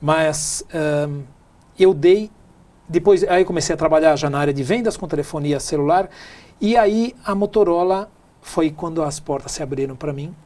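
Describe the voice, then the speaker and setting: A man's voice: fairly high pitch, moderate pace, a little mellow. A middle-aged man with a São Paulo Brazilian accent, telling his story conversationally as an interview guest. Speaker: interview guest, tech speaker and businessman.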